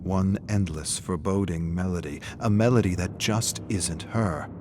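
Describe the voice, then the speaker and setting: Male lore narrator for a game: very low register, measured and foreboding delivery, hushed phrase endings, some ambience behind. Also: background noise. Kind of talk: dramatic scripted narration.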